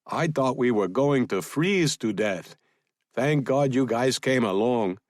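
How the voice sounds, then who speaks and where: A man's voice: mid-range voice, expressive, slow pace, a little mellow, no room sound, clean studio sound, pitched above the performer's own voice. A man voicing a feisty, defiant woman agent in an audiobook, with an insistent manner. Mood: feigned innocence. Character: feisty, defiant young woman agent feigning innocent tourist.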